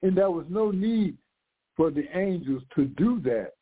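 A man's voice: high voice, animated, measured pace, slightly rough. A man in his sixties, a pastor preaching live on radio, congested from the flu, fervent and often repeating and restarting his phrases. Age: 60s. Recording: narrow phone-like radio sound.

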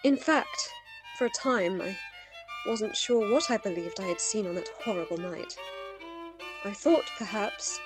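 A woman playing a shaken young Victorian woman in a radio play, telling her tale in a dramatic, deliberate way. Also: mid-range voice, lively intonation, over music, lightly acted, poor audio. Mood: somber, reflective. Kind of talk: radio play acting and first-person narration.

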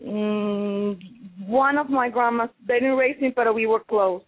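A woman calling in to a radio show, speaking in a soft and hesitant way. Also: a little high, measured pace, no room sound. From Ecuador. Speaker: caller. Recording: tinny phone line.

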